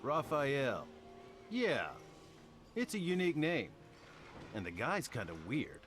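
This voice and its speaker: A man's voice: mid-range voice, huge pitch swings, slow, fairly bright tone, faint music behind, full character voice. An actor playing a chatty middle-aged male car mechanic in a romance game, folksy, casual and sympathetic. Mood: casual, curious. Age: 40s. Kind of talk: voiced dialogue in a romance game.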